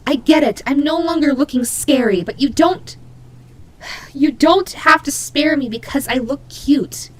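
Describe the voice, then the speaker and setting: A woman's voice: sits high, animated, measured pace, low-quality recording. A woman voicing a cute female demon bunny, proud and grudging, who stammers huffily in a scripted audio roleplay. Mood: wary confusion. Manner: indignant, stammering, huffy.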